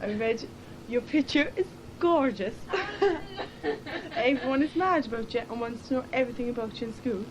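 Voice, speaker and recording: A woman's voice: sits high, expressive, moderate pace, few pauses. Giggly Cork schoolgirl on hissy cassette. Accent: Cork Irish. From Ireland. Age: late teens. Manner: giggly, chatty, teasing. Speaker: schoolgirl recording a tape letter. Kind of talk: casual chat recorded on a home cassette. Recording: hissy 1980s cassette tape.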